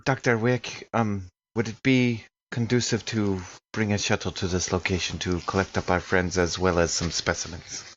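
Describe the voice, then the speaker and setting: Man acting as cautious scientist, formal, measured, low pitch, slightly muffled recording. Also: level tone, fairly bright tone, slight background noise. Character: cautious, formal scientist Doctor Laura, a woman.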